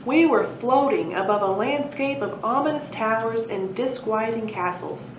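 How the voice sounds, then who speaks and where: A woman's voice: on the high side, normal speed. A woman reading a children's story aloud as a library presenter, expressively, with casual asides. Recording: roomy, muffled, faint background noise.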